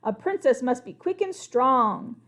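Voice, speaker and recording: A woman's voice: high voice, lively intonation, a little slow, fairly bright tone. A woman doing a bossy, fussy king. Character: fussy, bossy king, fitness-obsessed father.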